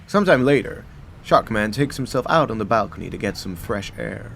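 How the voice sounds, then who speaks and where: A man's voice: fairly low pitch, lively intonation, brisk, vocal fry, noisy background. A man playing a dramatic storytelling narrator in a superhero radio play. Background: music.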